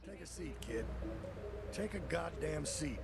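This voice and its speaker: An actor playing a tough, short-tempered Italian-American mafia capo with an American accent, bossy and blunt, in a video game. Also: male voice, on the high side, lively intonation, a little slow, creaky, over loud music. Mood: angry, commanding. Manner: bossy, blunt wise-guy talk. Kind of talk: video game cutscene acting.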